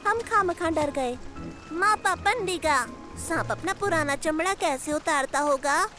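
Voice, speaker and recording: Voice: extremely high pitch, animated, a little slow, sharp tone, full character voice. A performer voicing an eager, childlike young animal cub on an adventure in a children's cartoon. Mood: curious. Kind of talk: Hindi children's cartoon dub. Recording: music behind the voice.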